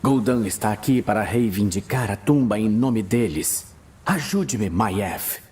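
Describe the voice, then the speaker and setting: Earnest archmage, acted by a man, restrained and urgent, very husky, hushed delivery for fantasy audio drama. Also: fairly low pitch, expressive. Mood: urgent pleading. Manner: hushed, measured, pleading. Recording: music bed behind voice.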